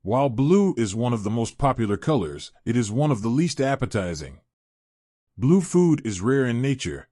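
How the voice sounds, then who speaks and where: A man with a dark, mellow voice of average pitch reads an informational passage aloud for exam practice. The recording is very dry and close. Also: big pitch swings, normal speed, no room sound.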